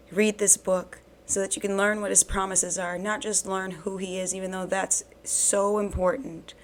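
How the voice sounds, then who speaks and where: A woman hosting a bible reading channel, talking earnestly and encouragingly to her viewers while her voice is hoarse from recent illness. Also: medium pitch. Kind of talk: casual devotional talk to camera.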